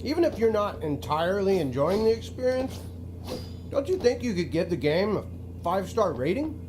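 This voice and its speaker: A theatrical video game narrator with a high voice that swings up and down freely. He is a self-justifying, pleading developer who pushes his own game, with music clearly playing behind him. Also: sing-song, normal speed. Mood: pleading, self-pitying.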